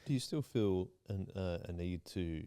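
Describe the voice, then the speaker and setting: A man asking short, soft-spoken questions in a casual interview. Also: sits low, expressive, normal speed, clear, resonant tone.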